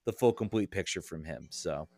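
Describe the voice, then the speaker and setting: Low-voiced man talking soccer, thinking aloud. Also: expressive, moderate pace, gravelly, a little mellow, no echo. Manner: hesitant, thinks aloud, hedges. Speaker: podcast guest, soccer analyst. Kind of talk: casual sports discussion.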